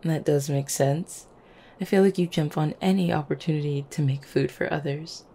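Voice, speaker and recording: Low, creaky-voiced affectionate girlfriend played by a woman. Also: steady tone, normal speed, full character voice. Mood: casual, affectionate. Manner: soft-hearted, teasing, hesitant little stammers and chuckles. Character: affectionate, playful, gently teasing young vampire girlfriend. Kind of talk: intimate ASMR girlfriend roleplay, acted as casual chat.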